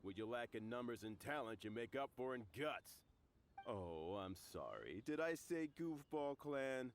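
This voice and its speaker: A mocking bully who sneers and chuckles at his victims. His delivery swings widely in pitch. Faint noise sits under the game audio. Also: sing-song, a little slow. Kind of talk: video game voice acting.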